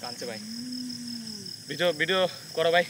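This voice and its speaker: A man giving frantic, urgent commentary outdoors at night, shouting warnings to a companion. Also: on the high side, normal speed, background noise.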